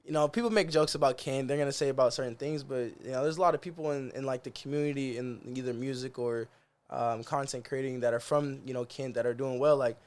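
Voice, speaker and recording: A young man from the Seattle area sits in as a guest on a podcast. He talks in a loose, slangy way and keeps quoting what he told himself. His voice sits at an everyday middle pitch. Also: quick pace, darkish tone. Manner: laid-back slangy talk, quotes himself. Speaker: guest musician. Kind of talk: casual interview chat.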